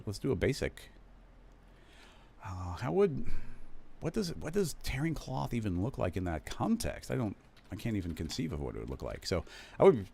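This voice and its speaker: A man hosting a live stream, casually talking viewers through a software tutorial, rambling and correcting himself as he goes. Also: on the low side. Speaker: live stream host teaching 3D animation software.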